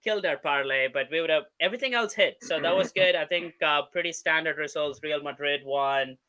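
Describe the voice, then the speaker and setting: Upbeat bright-voiced man hosting. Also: on the high side, clean tone, poor audio. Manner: upbeat, leads the talk with quick questions. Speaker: podcast host. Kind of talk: casual sports podcast chat.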